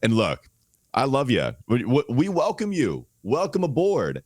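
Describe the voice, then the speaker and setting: A man's voice: mid-range voice, wildly swooping pitch, a little slow, darkish tone, no room sound, clean studio sound. A male sports podcast co-host, under the weather and holding his voice back, talking with wry, exaggerated gloom. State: under the weather, voice strained and quiet. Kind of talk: casual sports talk.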